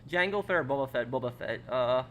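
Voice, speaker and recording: A man's voice: mid-range voice, expressive, fairly quick, a bit bright, slight background noise. A young American man streaming a game, reading viewer questions aloud and answering them casually, with frequent fillers and restarts. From United States. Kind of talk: casual live-stream chat.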